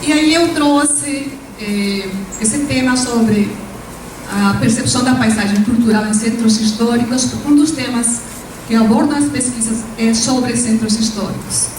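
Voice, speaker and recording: A woman with a Peruvian Spanish accent gives an academic presentation in a measured, formal way, stopping now and then on Spanish-sounding fillers. Her somewhat high voice comes from away from the microphone. It rings through a very echoey hall, with a faint hiss behind it. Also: darkish tone, recorded from a distance. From Peru. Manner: formal, measured lecturing with Spanish-tinged hesitations. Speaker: invited speaker, professor. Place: lecture hall.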